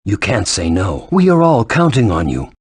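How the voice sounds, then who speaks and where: An actor voicing a solemn middle-aged leader and father in a video game, speaking with formal, measured authority. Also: on the low side, wildly swooping pitch, darkish tone, slightly rough, no echo, full character voice. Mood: imploring.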